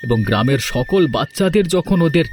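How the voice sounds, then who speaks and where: A man's voice: mid-range voice, expressive, a little fast, a little mellow, faint music behind, natural voice. A man playing a grieving, vengeful village father in a cartoon fairy tale, emphatic and melodramatic. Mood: angry, determined.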